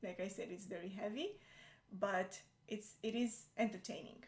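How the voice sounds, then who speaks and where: A woman's voice: mid-range voice, moderate pace, darkish tone. A young adult woman, a native Romanian speaker, chatting in English as a co-host, hesitant and full of fillers. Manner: hesitant, many fillers and restarts. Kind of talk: casual chat. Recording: echoey room.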